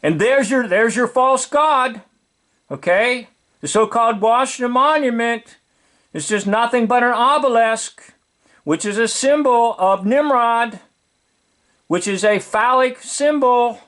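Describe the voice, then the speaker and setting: A man with a noticeably high voice delivers informal commentary at a slow pace. He explains things in a preachy, emphatic way, circling back to repeat key words. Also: fairly bright tone. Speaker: video commentator.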